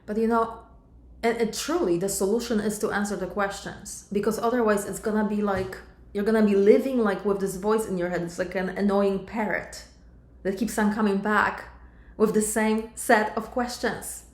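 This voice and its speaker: A woman's voice: mid-range voice, fairly even intonation, normal speed, echoey room. A middle-aged woman from Poland with a Polish accent, a life coach talking directly to her viewers and calling them sister and my love. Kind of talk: coaching monologue to camera.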